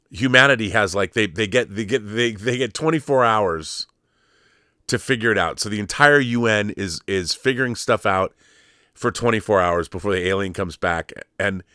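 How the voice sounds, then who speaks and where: A man's voice: lowish voice, sharp tone, dry recording, studio quality. A man hosting a casual, opinionated pop culture talk, rambling and digressive, with emphatic, sweary asides. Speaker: host answering live viewer questions.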